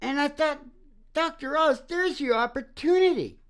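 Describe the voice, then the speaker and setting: A woman's voice: sits high, lively intonation, moderate pace. A woman hosting a casual music and talk show, rambling in a folksy, teasing, opinionated way. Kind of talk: casual rambling chat between songs.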